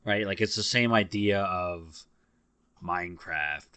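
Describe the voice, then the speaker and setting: Deep-voiced man, close and dry. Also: slow pace, sharp tone, smooth, no echo. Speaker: podcast co-host. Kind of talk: casual chat about games.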